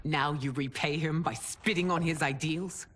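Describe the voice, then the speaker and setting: An actress playing a proud, stern empress in a video game cutscene, speaking in a regal, formal and accusing manner. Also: female voice, deep voice, fairly even intonation, moderate pace, noisy background, close to an everyday voice. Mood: cold contempt. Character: proud, imperious warrior empress, stern and indignant.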